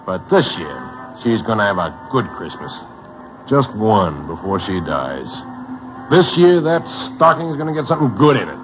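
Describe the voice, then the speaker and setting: Bighearted, animated tough crook. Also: male voice, lowish voice, unhurried, music in the background. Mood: determined. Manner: emphatic, clipped, tough-guy talk. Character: bighearted, resolute crook going straight. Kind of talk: vintage radio drama acting. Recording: muffled, noisy vintage radio recording.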